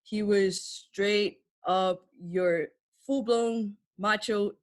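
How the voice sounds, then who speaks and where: A woman's voice: mid-range voice, steady tone, extremely slow. A woman in her thirties from New York, a podcast guest telling her story in casual chat, candid and laughing often. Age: 30s.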